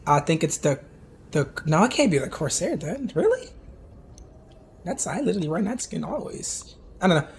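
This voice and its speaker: A man casually commenting on game replays for his live stream viewers, hoarse from losing his voice and talking straight to chat with lots of asides. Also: on the high side, sing-song, moderate pace, long pauses, a little hiss or hum. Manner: chatty, talks to chat directly, many asides and restarts.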